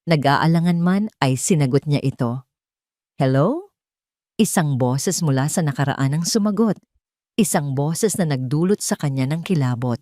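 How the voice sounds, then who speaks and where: A woman's voice: sits low, expressive, normal speed, dark tone, no room sound, clean studio sound. A woman narrating a scripted dramatic story as a storyteller.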